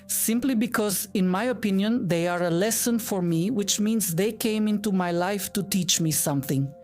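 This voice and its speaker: A woman's voice: medium pitch, normal speed, darkish tone, faint music behind. Earnest fifty-year-old woman from Bulgaria. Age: 50s. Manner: earnest, heartfelt, reflective. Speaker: guest. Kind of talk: personal storytelling in an interview.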